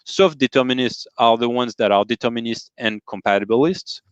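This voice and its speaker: A man's voice: mid-range voice, a little fast, smooth, dry recording, dull audio. A man from France with a French accent, a guest philosopher who explains ideas carefully, defining terms step by step. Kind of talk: careful explanatory interview talk.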